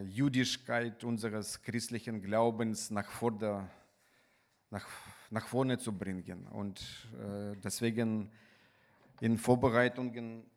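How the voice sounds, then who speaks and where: A man from Ukraine with a Ukrainian accent, preaching in a halting, deliberate manner with pauses mid-sentence. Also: on the low side. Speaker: guest preacher. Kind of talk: sermon with scripture reading.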